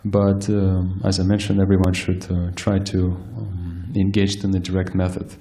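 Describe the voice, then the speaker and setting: A man giving a scripture lecture, soft-spoken and hesitant, with frequent fillers and restarts. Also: low-pitched, completely flat delivery, moderate pace. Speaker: speaker giving a scripture class. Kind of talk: sermon-style lecture. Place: temple hall with live audience.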